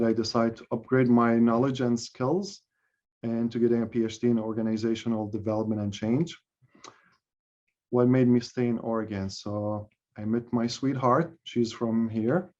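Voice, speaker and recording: A man's voice: medium pitch, darkish tone. An upbeat man from Palestine in his thirties opens an online event. He talks in a chatty way with light jokes, and his voice comes through a dull, muffled call line. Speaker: facilitator. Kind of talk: friendly event introduction. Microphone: video call.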